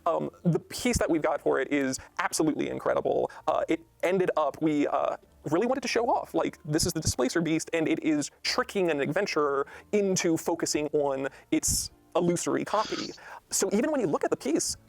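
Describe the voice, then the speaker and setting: A man's voice: a little high, expressive, brisk, creaky, dry recording, soft background music. A man explaining a game book as one of its designers, earnest, emphatic and detailed in an interview setting. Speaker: game designer discussing a rulebook.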